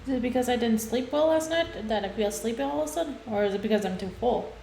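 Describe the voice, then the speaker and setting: A woman's voice: fairly high pitch, fast talker, vocal fry, mellow, reverberant, slight background noise. A woman live-streaming a game, reading dialogue aloud and reacting casually.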